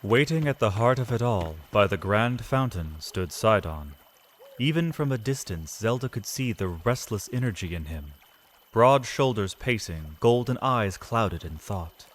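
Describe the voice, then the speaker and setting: Low-pitched man narrating fantasy drama. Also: lively intonation. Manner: measured, solemn storytelling with deliberate pauses.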